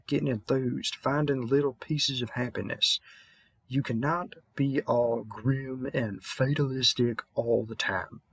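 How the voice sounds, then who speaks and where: A man's voice: medium pitch, slightly rough, no echo. A man playing an experienced, kindly vampire hunter mentor in an intimate audio roleplay, rambling and slightly mumbling in a Southern accent. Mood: encouraging.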